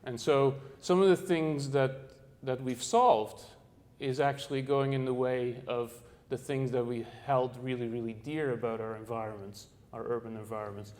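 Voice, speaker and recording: Halting Dutch-born man presenting a talk. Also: medium pitch, steady tone, darkish tone. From Netherlands. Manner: halting, many fillers and restarts, searching for words. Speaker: architect giving a talk. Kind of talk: presentation to an audience.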